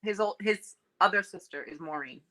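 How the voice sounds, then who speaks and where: A woman's voice: medium pitch, normal speed, sharp tone. A middle-aged woman giving brief, hesitant, casual replies as the client in a reading.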